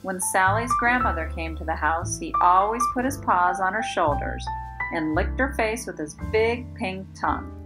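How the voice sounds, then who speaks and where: Female storybook reader, kindergarten-teacher delivery, medium pitch, deliberate emphasis, over prominent instrumental music. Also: poor audio. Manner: deliberate storytelling with emphasised, drawn-out key words. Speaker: kindergarten teacher reading a picture book aloud. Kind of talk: children's storybook read-aloud.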